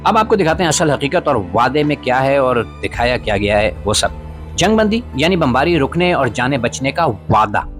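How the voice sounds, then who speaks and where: A man's voice: medium pitch, sing-song, moderate pace. Male political commentary voice-over over music. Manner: formal, literary Urdu vocabulary, rhetorical emphasis. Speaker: podcast host and narrator. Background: background music.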